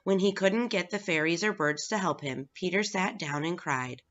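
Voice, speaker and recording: A woman's voice: on the low side, normal speed, a bit bright. A woman reading a children's story aloud as scripted narration. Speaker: staff reader at a school. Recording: dull, lo-fi recording.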